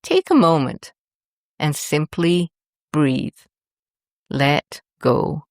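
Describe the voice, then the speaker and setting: A woman host narrating a scripted guided meditation in a soothing, deliberate manner, leaving long pauses between short phrases. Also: deep voice, very animated, dry recording, clean studio sound.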